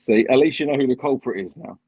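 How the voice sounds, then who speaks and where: Husky man on a dull, dry stream. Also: mid-range voice, fast talker, dry recording. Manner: chatty, explains at length, laughs at his own jokes. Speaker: guest on a live stream. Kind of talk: casual live-stream chat.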